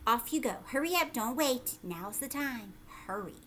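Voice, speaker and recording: A woman voicing a peculiar, secretive old man from a storybook, speaking with urgent emphasis and sometimes a hush. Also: a little high, lively intonation, normal speed, a little hiss or hum. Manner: urgent, emphatic, hushed at times.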